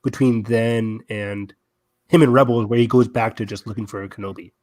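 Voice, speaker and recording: A man co-hosting a fan podcast in casual conversation, with an animated, jokey manner. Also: lowish voice, a little fast. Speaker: podcast co-host.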